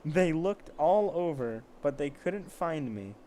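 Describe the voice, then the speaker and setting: A man's voice: fairly high pitch, lively intonation, slow, dark tone, a little hiss or hum. A man casually reading amateur fiction aloud, with sarcastic, foul-mouthed asides. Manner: sarcastic, profane commentary. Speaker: amateur story reader.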